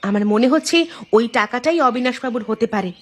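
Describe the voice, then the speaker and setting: A woman's voice: a little high, a little fast, a little hiss or hum, lightly acted. A woman playing a stern, suspicious village wife in a cartoon, questioning and nagging with emphasis. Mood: concerned. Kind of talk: Bengali cartoon dialogue dub.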